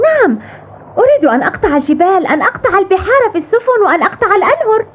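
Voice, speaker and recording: A woman's voice: extremely high pitch, very animated, racing. A woman playing a curious, eager young boy adventurer in a dubbed cartoon. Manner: eager, exclaiming, inquisitive. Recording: muffled tinny cartoon soundtrack with music.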